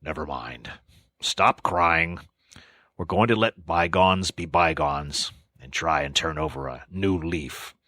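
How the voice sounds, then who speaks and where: A grave old badger who speaks with weight and authority, low and deliberate as a man puts him on. His voice is deep and dry, recorded close with no room around it. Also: sharp tone, no echo, heavily acted. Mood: gentler stern. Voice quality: gruff, severe, measured. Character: stern, wise old male badger. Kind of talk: audiobook character voice.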